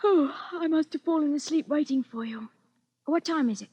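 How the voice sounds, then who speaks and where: An actress playing a plaintive, affectionate, nagging young English wife, chatty and quick to protest, in 1940s radio drama style. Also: female voice, high voice, warm tone, dry recording, natural voice. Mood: querulous. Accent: British. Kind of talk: 1940s radio suspense acting.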